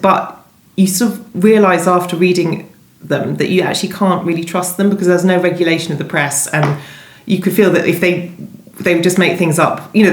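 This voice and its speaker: A woman speaking conversationally as an interviewed novelist, in a discursive way, often interrupting and rephrasing herself. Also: fairly low pitch. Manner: discursive, self-interrupting, hedging with "sort of". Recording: echoey room.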